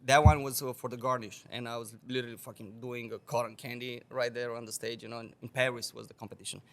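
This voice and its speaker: Lively, profane man from Romania. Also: medium pitch, fast. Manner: animated, swears a lot, laughs often. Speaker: guest bartender. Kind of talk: casual podcast storytelling.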